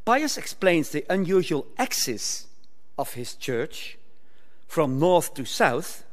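Older male academic at a lectern, careful formal delivery, fairly high voice, echoing lecture hall. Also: very animated. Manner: measured, formal delivery with occasional stumbles and restarts. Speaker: conference speaker. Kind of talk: reading a prepared academic paper. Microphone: lectern microphone. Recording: reverberant hall.

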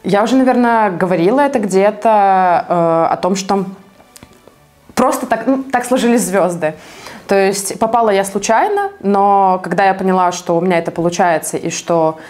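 A woman from Belarus answering interview questions as an activist, speaking conversationally and a bit haltingly as she thinks aloud. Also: medium pitch, lively intonation, a little mellow, faint music behind.

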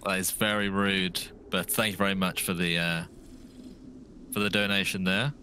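Man from Britain, deep voice, steady and polite stream co-host on a headset. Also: normal speed, a little hiss or hum. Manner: polite, steady, reads out chat and thanks subscribers. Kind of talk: casual chat while gaming. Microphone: gaming headset.

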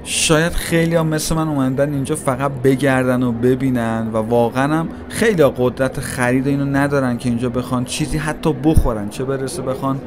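A man's voice: medium pitch, fairly even intonation, few pauses. A man giving a casual, chatty walking-tour narration, talking straight to his viewers as he strolls through a large indoor shopping mall. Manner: chatty, addresses viewers directly, musing. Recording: noisy mall ambience. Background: mall crowd ambience.

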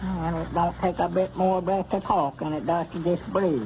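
High-voiced dim old Southern country storekeeper. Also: male voice, steady tone, darkish tone. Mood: amused. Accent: rural Southern US. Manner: folksy, literal-minded, halting. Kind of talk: 1940s radio comedy acting. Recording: muffled, noisy old radio recording.

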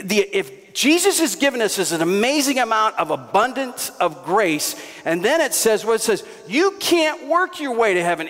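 Middle-aged man, pastor, storytelling sermon, high voice, headset mic, long reverb. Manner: animated, gestures widely, bursts into raised shouts. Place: church stage before a congregation.

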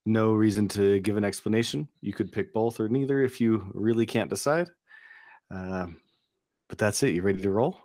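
Low-voiced middle-aged male host. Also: moderate pace, warm tone, no echo. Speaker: interview host. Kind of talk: casual video-call interview. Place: home studio. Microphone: boom studio microphone with headphones.

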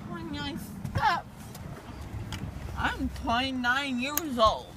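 A young woman talks in a groggy, slurred ramble, her numb mouth softening the words. She drifts into silly sing-song snatches at a mid pitch and an unhurried pace. Road noise from a moving car hums clearly under her, caught on a phone. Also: lively intonation. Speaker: patient after wisdom teeth removal. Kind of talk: loopy rambling chat. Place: passenger seat of a moving car. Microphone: phone camera held by driver.

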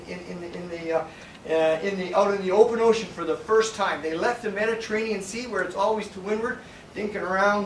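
An older man giving a casual slide lecture on sailing history, rambling and animated, with frequent restarts and asides. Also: high voice, a little hiss or hum. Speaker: sailing presenter. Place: darkened lecture room with projector. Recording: echoey room from a distance, faint ambience.

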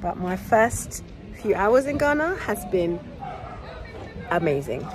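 Excitable woman vlogging on busy streets. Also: medium pitch, slow. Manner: excitable, laughs often, talks to camera. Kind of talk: casual travel vlog chat. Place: busy streets, shops and a car in a city. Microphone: phone held at arm's length. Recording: loud crowd and street noise.

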